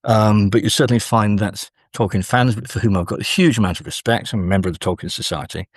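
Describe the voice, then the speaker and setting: A man with a fairly low voice talks as an academic guest in an interview. He explains his ideas thoughtfully, often halting mid-sentence to find the next phrase. The sound is close and dry. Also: steady tone, dry recording. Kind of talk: interview discussion, scholarly explanation.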